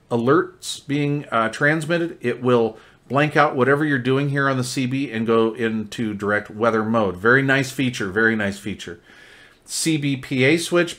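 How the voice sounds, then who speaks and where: A man's voice: medium pitch, fairly bright tone. A man talking casually through a hands-on product demonstration, explaining features step by step as a reviewer.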